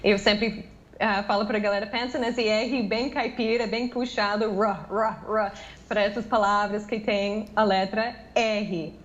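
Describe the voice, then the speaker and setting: A woman's voice: medium pitch, steady tone, measured pace, highs cut off. An American woman whose first language is English, speaking from her home office in a remote news interview, chatty, with many fillers and restarts. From United States. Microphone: video call.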